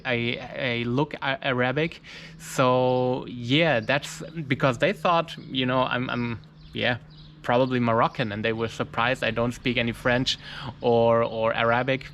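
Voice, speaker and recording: A man's voice: medium pitch, steady tone, unhurried, fairly bright tone, a little hiss or hum. A man, telling a travel story in casual English, searching for words with frequent restarts and fillers. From Germany. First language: German. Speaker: mountaineer vlogger.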